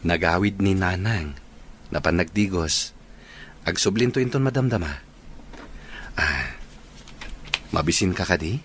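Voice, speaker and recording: A man playing a gentle, attentive young husband and new father in a radio drama, with a Filipino accent. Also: sits low, unhurried, pauses often, slight background noise. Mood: tender.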